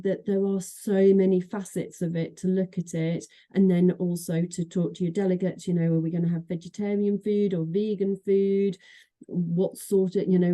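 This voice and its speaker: A woman's voice: medium pitch, warm tone. A middle-aged woman from Manchester with a Mancunian accent, a guest in a podcast interview, talking chattily with many fillers and restarts. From Manchester, England. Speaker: association executive director, guest.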